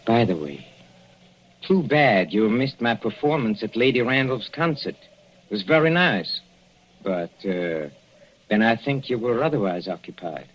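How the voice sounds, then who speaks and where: A gleeful, sadistic professor killer. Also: male voice, on the low side, sing-song, unhurried. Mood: gloating. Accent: Central European. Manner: mocking, purring, chuckling menace. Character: gleeful sadistic middle-aged male professor murderer. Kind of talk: 1940s radio acting. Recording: lo-fi vintage radio recording, faint hiss.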